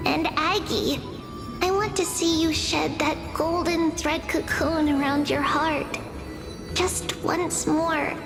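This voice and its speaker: A woman's voice: high-pitched, steady tone, takes it slowly. A woman voicing a sweet, playful little girl mentor in a fantasy game, tender and wistful. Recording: long hall reverb under music.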